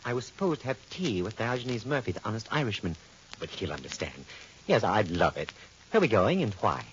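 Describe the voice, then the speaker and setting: A man's voice: fairly low pitch, very animated, a little fast, slight background noise. An actor playing an affected, witty male photographer in a 1940s radio drama, with a dull old radio sound. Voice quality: affected, mannered speech.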